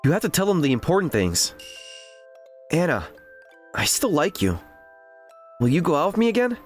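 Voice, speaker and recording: The earnest, teasing young boyfriend of a romcom manga dub, played by a man, his animated pitch leaping about over light background music. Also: normal speed. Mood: earnest, apologetic. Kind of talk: dramatized romantic comedy dialogue and inner narration.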